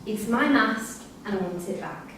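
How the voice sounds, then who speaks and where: An actress playing a curt, exasperated prison teacher in a staged reading. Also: female voice, mid-range voice, animated, normal speed, a bit bright, lightly acted. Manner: curt, no-nonsense. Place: theatre room at a live staged reading. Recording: distant, very echoey hall, faint noise.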